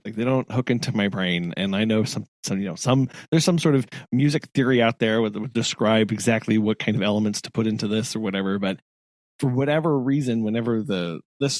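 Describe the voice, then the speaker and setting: A man's voice: on the low side, animated, a little fast, no room sound. A man co-hosting a podcast in casual chat, rambling with many restarts and frequent laughs. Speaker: podcast co-host.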